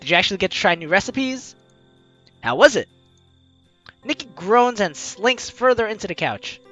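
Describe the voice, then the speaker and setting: A video game host with an animated, teasing, exclamatory way of talking, reading aloud and commenting playfully. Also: mid-range voice, moderate pace, dull audio, faint music behind. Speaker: let's-play video host.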